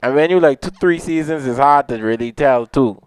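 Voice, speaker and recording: A man's voice: medium pitch, moderate pace, smooth, no echo. A young man co-hosting a podcast, chatting casually in a living room on a handheld mic, rambling and laughing at himself. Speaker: podcast co-host. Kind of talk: casual chat. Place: living room, on a leather couch. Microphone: handheld mic with headphones.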